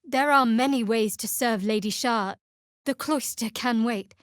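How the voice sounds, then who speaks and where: A guarded young priestess of a dark goddess, played by a woman for a fantasy video game. Her voice sits fairly high and is recorded close and dry. She speaks in clipped, measured phrases with wry, sardonic restraint. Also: no room sound, close to an everyday voice. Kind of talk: video game voice acting, companion dialogue and battle barks.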